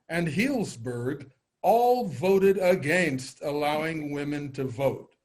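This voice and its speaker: Male reader for historical report, slightly high voice, slow deliberate scripted delivery. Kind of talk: scripted historical reading, news report manner.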